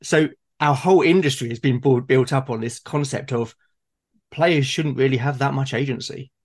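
A man hosting a casual, reflective discussion, musing aloud in a halting way and often restarting his sentences. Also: medium pitch. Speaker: host and game master in a conversation.

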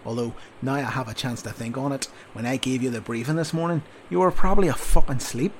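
Male narrator doing a gravelly, sarcastic storybook sergeant, loud and lecturing. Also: medium pitch, slight background noise, close to an everyday voice, poor audio. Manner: loud, sardonic, drawn-out scolding. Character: gruff blustering male army sergeant, sarcastic. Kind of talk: audiobook character voice.

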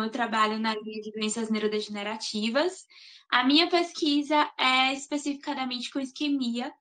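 Chatty high-voiced woman. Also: a bit bright. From Salvador, Bahia, Brazil. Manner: chatty, frequent tag questions. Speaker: guest, master's student. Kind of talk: interview answers.

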